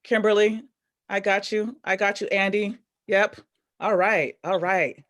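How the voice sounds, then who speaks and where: A woman's voice: medium pitch, steady tone, measured pace, fairly bright tone. Chatty upbeat woman hosting online. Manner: upbeat, chatty, loose, with many fillers. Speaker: host. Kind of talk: hosting a live online event. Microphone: video call.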